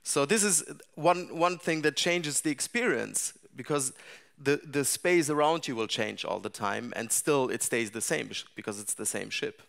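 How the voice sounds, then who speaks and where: A middle-aged man speaks to a seated audience in a dark seminar room, his voice at an ordinary middle pitch. He picks his way through each thought, restarting phrases and filling pauses with "uh" and "um" as he goes. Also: lively intonation, creaky. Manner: thoughtful, frequent restarts and fillers. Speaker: larp designer giving a talk. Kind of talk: conference talk to a seated audience.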